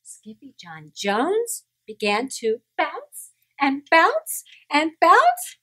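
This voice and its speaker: A woman with a high, hugely varied voice reads a picture book to small children. She takes her time, plays things up, and stops to ask her listeners questions. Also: huge pitch swings, bright, forceful tone. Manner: playful, exaggerated, pausing to ask listeners questions. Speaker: children's storyteller. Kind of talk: animated read-aloud of a picture book to young children.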